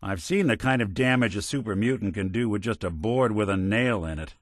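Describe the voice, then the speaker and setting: A man's voice: lowish voice, brisk, dry recording, close to an everyday voice. A man playing a world-weary, middle-aged android detective in a video game, with an American accent and a wry, hard-boiled way of talking. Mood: grave, dry. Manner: wry, dry, old-fashioned hard-boiled slang. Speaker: companion character in a video game.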